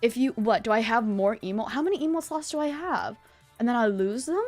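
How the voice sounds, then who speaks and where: A woman's voice: a little high, animated, a little fast, darkish tone, faint music behind. A woman livestreamer chatting casually with her viewers in a bubbly, chatty manner. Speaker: livestreamer, virtual streamer host.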